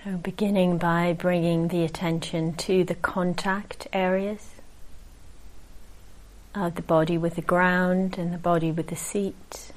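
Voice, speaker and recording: A woman meditation teacher leading a guided meditation in a gentle, unhurried manner, leaving long pauses. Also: lowish voice, flat delivery, rough recording.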